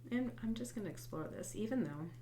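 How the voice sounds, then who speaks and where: A woman hosting a guided tapping session, congested from a head cold, speaking softly and reflectively and sometimes dropping to a whisper. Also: medium pitch, lively intonation, quick pace, slight background noise. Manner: soft, reflective, drifts into quiet whispered tapping phrases. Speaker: host and EFT tapping coach.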